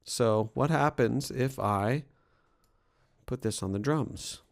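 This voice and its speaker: A man presenting a software tutorial as a music production trainer, explaining things in an informal way. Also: mid-range voice, slow pace, vocal fry.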